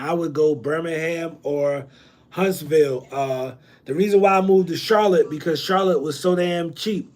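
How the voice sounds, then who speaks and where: A man from New Jersey hosting a loose livestream Q&A on real estate, slangy and repeating himself with many ums. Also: a little high. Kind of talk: casual livestream Q&A.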